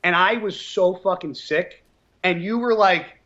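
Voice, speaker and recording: A man in his thirties from New Jersey talks casually in an interview, blunt and full of swearing. His voice sits fairly high, and the audio sounds a little dull and muffled. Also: a little slow. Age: 30s. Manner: blunt, swears often, animated storytelling. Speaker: professional bodybuilder guest.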